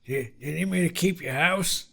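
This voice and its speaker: A man's voice: on the high side, fast talker, vocal fry, a bit bright, breathy edge, full character voice. A middle-aged man doing an awkward, pushy housekeeper at the door. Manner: awkward, overly reassuring. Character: odd insistent male housekeeper at the door. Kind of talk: improvised comedy sketch.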